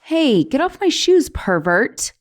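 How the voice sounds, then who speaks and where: A woman's voice: high-pitched, very animated, slow pace, full character voice. A woman voicing a vengeful, teasing young giantess in an ASMR roleplay monologue, mocking and menacing in a playful, theatrical way. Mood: searching, annoyed. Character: gloating, teasing vengeful young giantess taunting shrunken captive.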